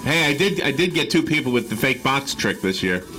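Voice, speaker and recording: A man's voice: medium pitch, very animated, brisk, over music. A jovial male radio host who laughs easily while chatting casually. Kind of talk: casual chat. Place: radio studio.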